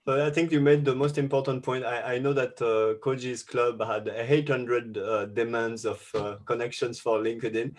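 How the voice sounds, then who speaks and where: A man moderating an online webinar over a video call, talking in a halting way with many restarts and fillers. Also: mid-range voice, little pitch movement. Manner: says a French thank-you.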